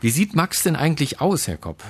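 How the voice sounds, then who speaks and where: A man's voice: a little high, big pitch swings, a little fast, slight background noise. A man hosting a radio show, doing a light interview in a playful, bantering way.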